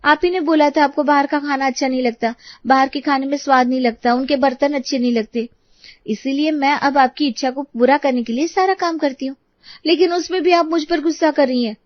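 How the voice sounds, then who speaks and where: Woman acting an insistent, high-voiced young daughter-in-law. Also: fairly even intonation, a little fast, no room sound, dull audio, natural voice. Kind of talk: animated moral story dialogue.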